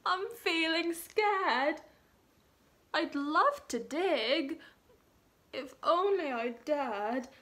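A jittery scaredy-cat cook, as a woman puts him on, squeaky high and bright, wobbling with fright, drawn out slowly like a storybook read aloud. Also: animated, full character voice. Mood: fearful. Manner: shaky, high and quavering with fear. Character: timid fretful male cook, easily frightened.

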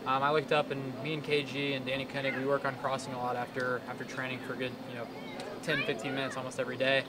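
A man's voice: mid-range voice, completely flat delivery, quick pace, over a noisy crowd. A man in his twenties, a soccer player, giving a casual, hesitant postgame interview. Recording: loud background noise.

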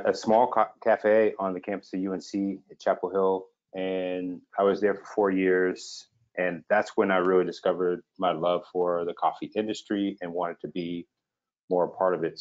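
A man's voice: sits low, lo-fi sound. A man wearing a headset on a video call, introducing himself steadily as a coffee roaster and shop owner.

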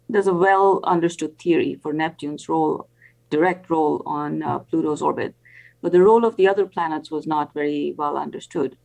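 An older woman speaks in a fairly low voice as an expert interview guest on a video call. She explains carefully and haltingly, pausing often as she builds each answer. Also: gravelly, darkish tone, poor audio. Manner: halting, careful, frequent pauses. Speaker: interview guest, professor and planetary scientist.

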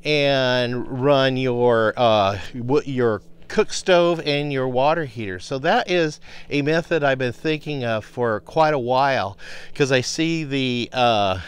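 A man in his fifties explaining things casually to the camera outdoors, in a halting, thinking-aloud way. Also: mid-range voice, clear, resonant tone. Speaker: homestead vlogger. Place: outdoors in a grassy rural yard.

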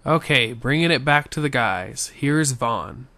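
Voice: medium pitch, animated, a little slow, background noise. An amateur voice actor giving casual, unassuming introductions to an audition.

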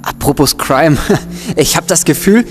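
An actor playing an excitable, cheeky young student in a comic radio play, with an animated, theatrical delivery. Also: male voice, on the high side, measured pace, noisy background. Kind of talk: German comedic audio drama acting.

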